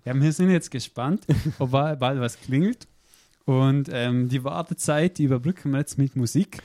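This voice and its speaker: A man's voice: medium pitch, moderate pace, dry recording. Austrian guy on radio, chatty, lots of umms, dry studio sound. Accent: Austrian German dialect. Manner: relaxed, chatty, hesitant with fillers. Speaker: radio show co-host. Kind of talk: casual radio chat.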